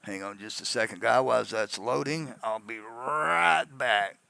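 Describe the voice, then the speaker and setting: A man with a gravelly voice narrates a software tutorial in an informal, rambling step-by-step way, often checking in with an "okay". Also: medium pitch, a little slow, dry recording. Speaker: tutorial host.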